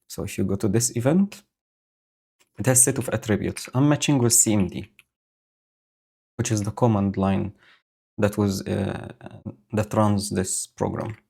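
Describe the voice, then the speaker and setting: A man streaming live, explaining his code slowly and thinking aloud with many fillers. He has a low voice and is speaking into a home microphone setup with a noise gate. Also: steady tone, creaky, dark tone, husky. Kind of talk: casual live-coding commentary. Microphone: new home audio setup with noise gate.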